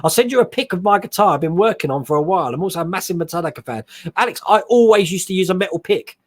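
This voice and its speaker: Lively man chatting on a live stream. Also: high voice. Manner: chatty, rambling, quick laughs. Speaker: host. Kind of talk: casual live-stream chat reading viewer comments.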